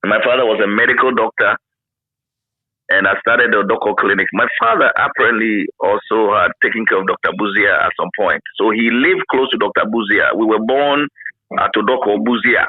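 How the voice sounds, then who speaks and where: A man's voice: on the low side, brisk, slightly rough, dry recording. A man in his fifties with a Ghanaian accent, reminiscing in a casual interview and often repeating phrases for emphasis. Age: 50s. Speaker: interview guest, former radio pioneer. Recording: narrow phone-like line.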